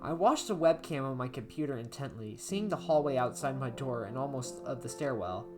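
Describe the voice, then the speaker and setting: A woman playing an anxious, isolated diarist in a horror story, reading in a halting, emotive way with music behind her. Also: rumbling low, animated, pitched below the performer's own voice. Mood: uneasy, restless. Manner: halting, emotive, with stammered repeats. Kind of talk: dramatic first-person horror story narration. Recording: background music under voice.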